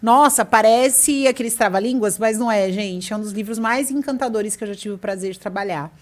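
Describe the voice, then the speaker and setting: A woman's voice: mid-range voice, fairly quick. A woman hosting a podcast, chatting in a bubbly, talkative way and laughing easily. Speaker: podcast host. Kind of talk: casual chat.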